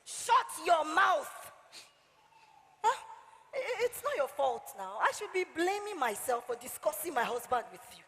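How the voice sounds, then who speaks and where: A woman's voice: high-pitched, very animated, measured pace, fairly bright tone, exaggerated voice. An actress on stage playing a bossy, exasperated married madam who mocks and scolds her servant theatrically. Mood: angry, bossy. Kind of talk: stage play acting. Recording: echoey hall stage recording.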